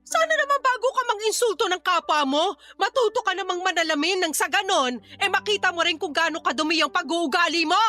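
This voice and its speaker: A woman's voice: extremely high pitch, animated, brisk, few pauses, sharp tone, soft background music, full character voice. A woman playing a fed-up grandmother who scolds in defence of her family, confrontational and emphatic, in radio drama style. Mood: angry, scolding.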